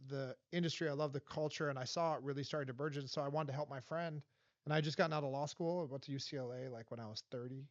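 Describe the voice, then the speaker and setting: A man from Los Angeles in his forties, talking as a guest in a casual interview, emphatic and animated. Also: medium pitch, quick pace, dry recording. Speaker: guest, vendor advocate. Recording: dull dry podcast audio.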